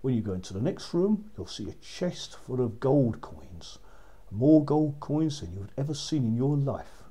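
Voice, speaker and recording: A man's voice: medium pitch, very animated, normal speed, warm tone, slightly rough. A man voicing a scheming, bossy old witch in a children's story. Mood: instructing.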